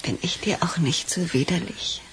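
An actress playing a cool, seductive murder accomplice in a crime radio drama, bold and taunting. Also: female voice, on the low side, normal speed, vocal fry, in a whisper, low-quality recording.

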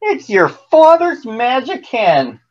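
Excitable storybook mother, played by a male narrator: high-pitched, loving, exclaiming, slightly muffled recording. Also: takes it slowly, heavily acted. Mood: delighted wonder. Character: Jack's excitable, loving fairy-tale mother, adult woman.

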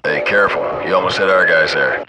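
A man's voice: deep voice, quick pace, natural voice. An actor playing a laid-back, cocky military gunship crewman, chatting casually over the radio in a video game. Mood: cautioning. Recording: distant military radio, loud aircraft cabin noise.

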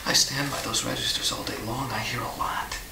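A man playing an uneasy small-town war veteran in a radio drama. He speaks in a gravelly, hushed, halting voice, as if sharing secrets. The hissy tape sounds roomy and distant. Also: mid-range voice, steady tone, natural voice. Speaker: actor in an audio drama. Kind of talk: naturalistic radio drama acting.

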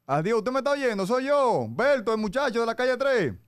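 A man's voice: extremely high pitch, very animated, brisk, clear, resonant tone, no room sound. A young Dominican man hosting a radio show, teasing and insistent in comic phone-prank banter, on a close studio microphone. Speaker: radio show host making a prank call. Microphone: close broadcast microphone with headset.

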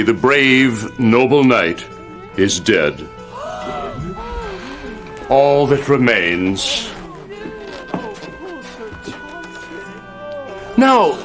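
An actor playing a sly, sardonic middle-aged royal chancellor in a campy fantasy game scene. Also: male voice, on the low side, exaggerated voice. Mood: mock solemn. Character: scheming, sneering royal chancellor villain, middle-aged man. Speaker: actor in live-action game cutscenes. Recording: music behind the voice.

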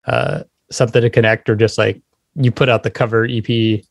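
A man's voice: on the low side, flat delivery, fairly quick, creaky, no room sound, studio quality. A man hosting a podcast, asking questions in a casual conversational style. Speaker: podcast host. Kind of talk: casual interviewing.

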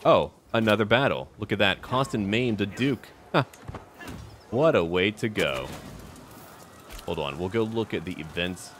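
A man's voice: on the low side, animated, unhurried, pauses often, fairly bright tone, a little hiss or hum. A man giving first-person roleplay commentary on a strategy game in short, theatrical remarks, as if addressing its characters. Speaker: gaming video host.

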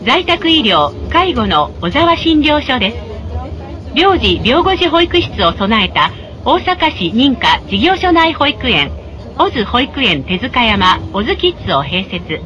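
A woman's voice: very animated, measured pace, bright, forceful tone. A woman reading scripted advertising announcements on a tram in a polite, polished sales delivery. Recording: noisy in-vehicle recording with tram rumble. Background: tram running noise.

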